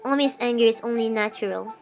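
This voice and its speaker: A woman streamer with a fairly high voice reads a dark visual novel aloud on a relaxed livestream. She slips between steady narration and chatty, giggly asides, with soft game music under her. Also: fast. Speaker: VTuber streamer.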